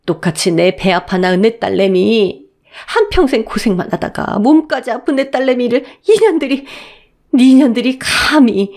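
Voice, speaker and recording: A woman's voice: fairly high pitch, moderate pace, a little mellow, voice pushed up, lightly acted. Furious eighties grandmother, as a woman puts her on. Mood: enraged. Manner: shrieking, cursing in rage.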